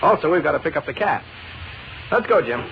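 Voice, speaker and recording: A noisy vintage radio recording of a good-natured newspaper reporter. He talks fast in a fairly high, lively voice, easygoing and sure of himself. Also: vocal fry, highs cut off. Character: calm, good-natured, quick-witted male newspaper reporter. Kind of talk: 1940s radio acting.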